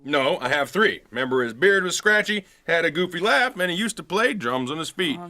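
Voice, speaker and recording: An actor playing an earnest male hero in an animated film. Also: a little high, brisk, full character voice. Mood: earnest, fond. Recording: music under film clip.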